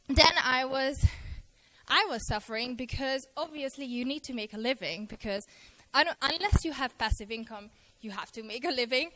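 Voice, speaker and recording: A young adult woman giving a lively, self-mocking talk on a festival stage, acting out her own worries. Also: fairly high pitch, poor audio. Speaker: conference speaker. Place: festival stage before a live audience. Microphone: handheld mic.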